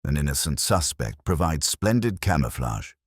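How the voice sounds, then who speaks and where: A shrewd inspector , read by a male storyteller, speaks in a very low, dry-recorded voice. He is unhurried and understated, turning his thoughts into quietly amused maxims for a mystery story. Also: very animated, slightly rough, no room sound, exaggerated voice. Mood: dryly satisfied. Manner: deliberate, aphoristic, understated.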